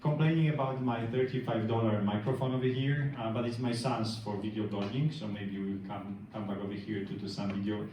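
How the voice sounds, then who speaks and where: A man's voice: lowish voice, steady tone, fairly quick, few pauses, warm tone, recorded from a distance. A middle-aged man from Poland with a Polish accent, giving a meetup tech talk with lots of hesitant fillers and restarts. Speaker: tech speaker. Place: large meeting room. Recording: echoey room, speaker off-mic.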